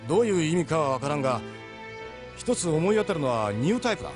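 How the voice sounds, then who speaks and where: A man's voice: fairly high pitch, very animated, fast talker, music in the background. A man voicing a seasoned, middle-aged ship captain in an audio drama, persuasive, frank and worldly. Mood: musing, explanatory. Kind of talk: Japanese audio drama acting.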